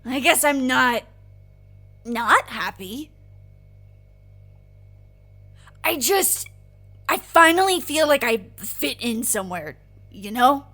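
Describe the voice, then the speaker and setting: A woman's voice: high-pitched, expressive, takes it slowly, sharp tone, exaggerated voice. A woman playing an insecure, earnest young agent in a naturalistic audio drama, speaking in a hesitant, emotional, informal way. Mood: fond, wistful.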